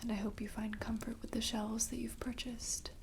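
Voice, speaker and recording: A young, mysterious shopkeeper woman from a fantasy port , played by a woman in an ASMR roleplay, speaks in a gentle, unhurried whisper. Her delivery is intimate and level, with a crackly fry. Clear ambient noise sits behind the voice. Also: on the high side, flat delivery, close to an everyday voice. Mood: hushed, kindly. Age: young adult. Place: dim candlelit tabletop set indoors.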